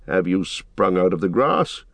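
A man's voice: sits low, very animated, a little slow, gravelly, no room sound, studio quality, full character voice. A man voicing a proud, suspicious warrior horse-lord in a fantasy audiobook, with formal, archaic, challenging speech. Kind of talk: dramatic audiobook fantasy reading.

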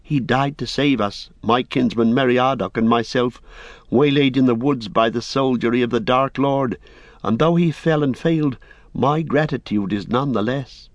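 An earnest young halfling speaks with proud feeling, as a male reader puts him on. The voice is pushed noticeably higher than the reader's own. The recording is dry and a little dull. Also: normal speed, dry recording, close to an everyday voice. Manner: earnest, faltering then proud.

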